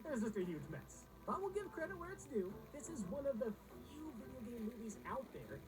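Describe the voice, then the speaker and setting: A woman's voice: sits high, very animated, brisk, rough recording. A woman reading scripted comedic countdown narration in a sarcastic, snarky manner.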